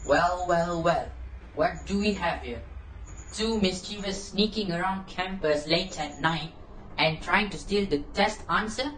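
A man's voice: high-pitched, a little slow, fairly bright tone, slight background noise, low-quality recording. A young man playing a stern, scolding campus guard in an amateur reader's theater drama. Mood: menacing. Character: stern male campus guard catching mischievous students.

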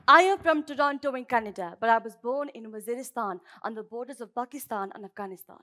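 Woman acting a high-voiced, fierce squash champion. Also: animated, brisk, sharp tone. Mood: proud. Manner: declamatory, dramatic. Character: proud, fierce young female squash champion from Pakistan. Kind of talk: theatrical stage monologue, poetic.

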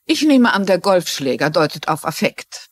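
A woman's voice: fairly low pitch, very animated, normal speed. A woman playing a shrewd, courteous older amateur sleuth in a dubbed TV drama, polite and probing. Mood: probing, grave.

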